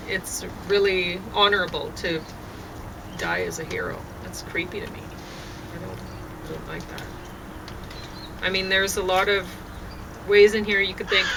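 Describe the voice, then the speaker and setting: Hesitant woman chatting over outdoor noise. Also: medium pitch. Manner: hesitant, halting, thinking aloud. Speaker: vlogger sharing opinions on a show. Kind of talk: casual rambling chat. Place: outdoors on a deck. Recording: outdoor deck, clear background noise, birds.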